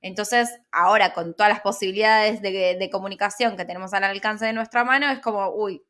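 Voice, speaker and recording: A woman with a Rioplatense Argentine accent speaks in a medium-pitched voice as a podcast interviewer. Her phrasing is casual and hesitant, circling back and repeating words as she works out what she wants to say. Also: steady tone, brisk, fairly bright tone. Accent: Rioplatense Argentine Spanish.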